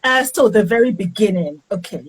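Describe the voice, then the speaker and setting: A woman's voice: mid-range voice, expressive, a little fast, slightly rough. A woman author being interviewed on a casual livestream. She is friendly and keeps greeting viewers as she talks. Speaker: author, guest.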